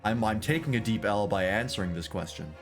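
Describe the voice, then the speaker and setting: Low-voiced, rambling male streamer. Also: expressive, a little fast, faint music behind. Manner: rambling, self-deprecating jokes. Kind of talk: casual game stream chat.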